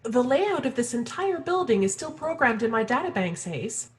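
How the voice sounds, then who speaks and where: A woman's voice: fairly high pitch, sing-song, moderate pace. A woman playing an efficient, matter-of-fact talking spaceship with a businesslike manner in a comic sci-fi audio drama.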